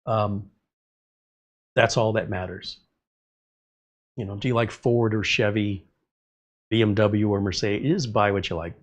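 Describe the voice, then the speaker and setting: A man's voice: on the low side, fairly even intonation, unhurried, pauses often. A man hosting a casual tech livestream, rambling conversationally while a bit congested from a cold. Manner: rambling, conversational, talks through tangents. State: slightly congested, recovering from a cold. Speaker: tech channel host answering live chat. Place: studio.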